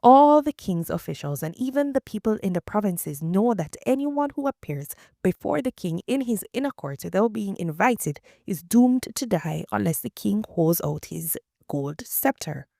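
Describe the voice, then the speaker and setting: A woman's voice: mid-range voice, expressive, moderate pace, gravelly, hardly any pauses, warm tone, dry recording, studio quality. A woman reading the lines of a resolute young queen in a scripture reading, in her own voice. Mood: earnest. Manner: earnest quoted speech within a reading.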